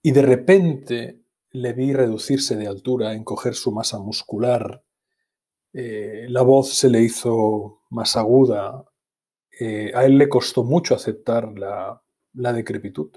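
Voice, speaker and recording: A man in his fifties from Spain, speaking with a Spanish accent as an interview guest, telling personal memories in a calm, measured way over a video call from a home study. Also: medium pitch, breathy edge. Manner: calm, measured, reflective, restarts words. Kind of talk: reflective personal storytelling. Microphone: earphone mic on video call.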